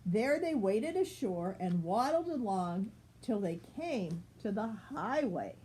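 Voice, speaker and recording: An older woman, a grandmother, reading a children's picture book aloud with expressive, deliberate phrasing. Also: medium pitch, slight background noise.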